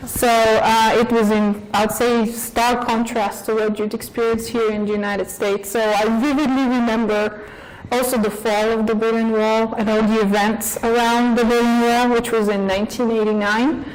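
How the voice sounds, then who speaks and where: A woman's voice: a little high, little pitch movement, poor audio. Bulgarian-accented woman, thoughtful. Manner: thoughtful, many "um" and "uh" pauses. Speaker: professor. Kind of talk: interview answers.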